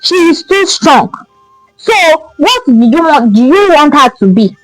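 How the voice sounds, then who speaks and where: A woman's voice: high-pitched, big pitch swings, unhurried, no room sound, soft background music, low-quality recording. A woman with a Nigerian accent voicing a no-nonsense mother who nags her grown son with emphatic questions in a folktale. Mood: indignant. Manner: emphatic, nagging rhetorical questions.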